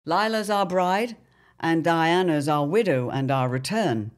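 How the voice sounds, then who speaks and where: A narrator of a period audio serial, reading scripted copy in a theatrical, deliberate style. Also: medium pitch.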